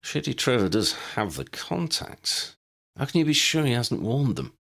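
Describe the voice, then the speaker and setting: A man's voice: medium pitch, sing-song, moderate pace, gravelly, fairly bright tone, voice pushed up, heavily acted. A man voicing a guarded, protective male wizard officer in an audiobook reading, speaking in a firm, clipped way. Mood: stern.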